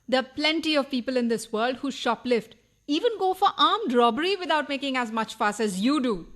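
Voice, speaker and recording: Actress, needy nagging wife, high-pitched, lively intonation, reproachful, melodramatic radio farce. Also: female voice, brisk. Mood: wounded, reproachful. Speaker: radio play actor. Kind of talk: farcical radio play acting.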